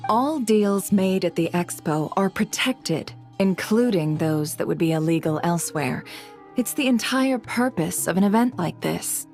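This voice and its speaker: A shrewd woman who runs a secretive guild, with a lowish voice. She is poised, measured and courteous, in video game style, over music. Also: lively intonation, a little mellow. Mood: cool, firm.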